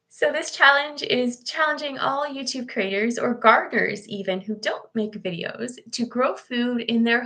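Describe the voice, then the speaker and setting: A hoarse woman, chatting casually as host of a live garden tour from her computer room, greeting viewers by name. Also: on the high side, bright, forceful tone. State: hoarse from recent illness.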